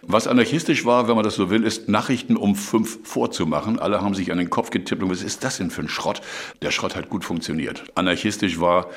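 A man's voice: on the low side, fairly quick. A middle-aged or older man, a radio veteran, speaking colloquially and emphatically in an interview. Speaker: long-serving radio staffer being interviewed. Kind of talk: spontaneous interview reminiscence.

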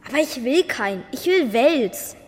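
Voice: high-pitched, animated, moderate pace. A performer playing a stubborn, loyal young boy who wants his dog, plain-spoken and defiant, in a children's radio play. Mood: defiant, wistful. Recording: echoey hall, faint noise.

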